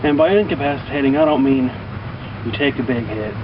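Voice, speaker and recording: A man's voice: mid-range voice, a little fast. Male storyteller, colloquial and rambling, in a dull, distant recording swamped by heavy ambient noise. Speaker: vlogger telling personal stories. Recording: distant mic, muffled, loud outdoor traffic-like noise.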